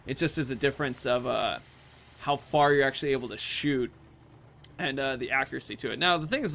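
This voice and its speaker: A man's voice: medium pitch, fairly bright tone, slight background noise. A man in his thirties giving casual, off-the-cuff commentary while playing a video game. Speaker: video game streamer, host.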